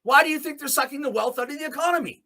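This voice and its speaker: A man's voice: very high-pitched, very fast, bright, forceful tone. A man hosting a solo commentary show, delivering a blunt, off-the-cuff opinionated monologue with free use of swearing.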